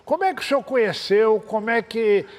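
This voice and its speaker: A man hosting a TV interview, prompting his guest with many quick follow-up questions. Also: high-pitched, animated, big-room sound. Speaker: TV interviewer, host.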